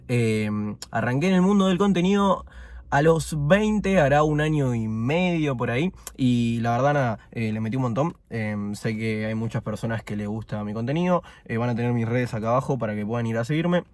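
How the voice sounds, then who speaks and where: A man's voice: mid-range voice, normal speed. Chatty young man from Buenos Aires. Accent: Argentine Spanish, Buenos Aires. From Argentina. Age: 20s. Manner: relaxed and chatty, many fillers, talking with his mouth full at times. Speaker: vlogger. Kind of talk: casual first-person vlog while eating. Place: indoors, eating fast food.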